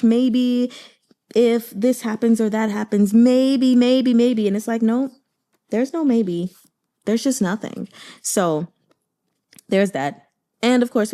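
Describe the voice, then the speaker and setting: Woman host just chatting to her listeners, soft mellow tone, opinionated n emphatic. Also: fairly low pitch. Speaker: audiobook reader and channel host. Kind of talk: casual reaction chat and announcements.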